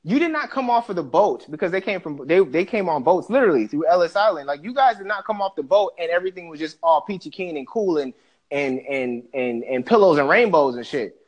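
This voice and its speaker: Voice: mid-range voice, lively intonation, brisk. A podcast co-host from the Bahamas, ranting animatedly and profanely in a casual discussion.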